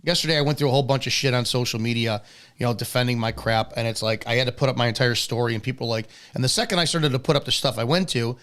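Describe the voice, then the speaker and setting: American guy hosting live talk show, normal pitch, blunt, swears a lot. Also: fast, a bit bright. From United States. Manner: blunt, profane. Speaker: host of a law enforcement talk show.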